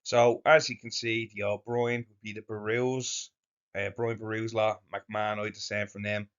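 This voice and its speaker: A low-pitched man reads from his slides, stumbling and restarting now and then. He breaks off for dry, wry asides of his own. The recording sounds a little dull and lo-fi. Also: flat delivery, fairly bright tone, clean tone. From Ireland. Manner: hesitant, halting reading with restarts and wry asides. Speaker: channel host presenting slides. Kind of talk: reading slides aloud with commentary.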